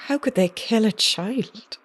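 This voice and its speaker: A woman's voice: a little high, slow. An actress playing a determined, wry woman investigator in an audio drama, pointed and probing in her delivery. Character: determined woman investigator, wry, wounded, confronting former partner.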